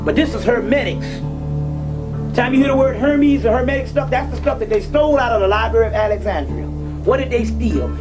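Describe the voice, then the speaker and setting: A man's voice: very high voice, expressive, fairly quick. A man giving a fiery, informal lecture to a live audience, preaching emphatically and repeating phrases, often with profanity. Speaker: lecturer on esoteric teachings. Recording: distant live lecture recording, music over it. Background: music.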